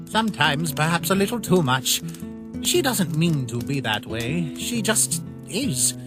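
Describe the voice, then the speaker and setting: A woman's voice: low-pitched, very animated, a little slow. A woman voicing a polite, innocent young male skeleton in a comic dub, earnest and a little formal. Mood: sheepish, earnest. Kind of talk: comic dub character acting. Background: music.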